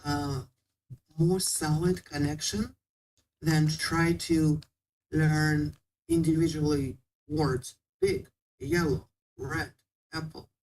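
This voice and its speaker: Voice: mid-range voice, fairly even intonation, slow pace, darkish tone, poor audio. A guest patiently explaining how to learn a language in a casual interview, with small non-native grammar slips.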